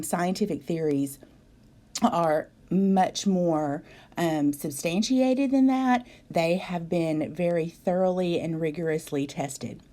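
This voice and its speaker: Slow, husky woman lecturing. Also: sits low, mellow. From American South. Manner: measured, explanatory, frequent ums. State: hoarse from a cold. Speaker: biology instructor. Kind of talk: recorded video lecture.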